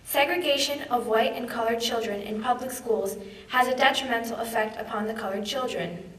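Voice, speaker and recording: A young woman gives a formal reading of a legal text. She has a high voice, picked up from a distance in a roomy hall. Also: a little fast, a bit bright. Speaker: student reader. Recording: echoey hall, distant mic.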